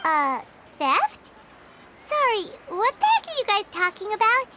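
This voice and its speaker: A tiny fairy companion in a video game, with an extremely high, squeaky child's voice. She is perky, cheeky and curious, swinging widely in pitch, with loud music behind her. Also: huge pitch swings, unhurried, exaggerated voice.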